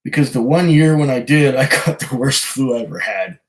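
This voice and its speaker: A man with a hoarse voice from a cold, hosting a casual live stream, reading viewer comments and chatting in a rambling, hesitant way. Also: mid-range voice, animated, normal speed, poor audio. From Canada. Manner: rambling, hesitant, chuckles often. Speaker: whiskey channel host reading live chat.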